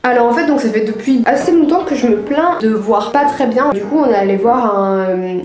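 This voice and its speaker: A woman's voice: on the high side, brisk, darkish tone. A young woman with a French accent from France, telling casual personal anecdotes to viewers in an informal, slangy, confiding way. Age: young adult. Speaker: video creator. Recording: roomy echo, music underneath.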